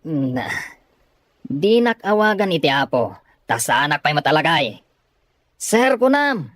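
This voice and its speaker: A woman's voice: low-pitched, big pitch swings, slow, no room sound, full character voice. A woman voicing a fawning, self-important comic majordomo, obsequious and pompous, in a radio drama. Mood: pompous, gloating. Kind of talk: Filipino radio drama acting.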